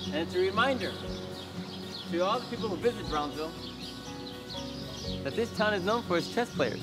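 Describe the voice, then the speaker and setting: A man, an actor, giving a casual on-camera interview in a halting, rambling way, as if thinking aloud. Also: sits high, expressive, over loud music. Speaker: actor in a behind-the-scenes interview.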